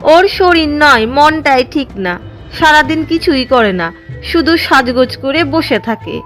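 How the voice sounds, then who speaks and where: A woman's voice: high voice, expressive, unhurried, a bit bright, soft background music. A woman playing a sly, jealous older village mother-in-law in a cartoon drama. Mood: scheming. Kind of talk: Bengali cartoon drama dialogue.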